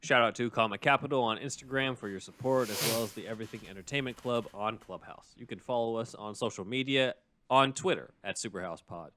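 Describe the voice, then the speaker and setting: Male podcast co-host, lower-pitched voice, casual and jokey delivery of plugs and banter. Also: pushed and bright, no room sound.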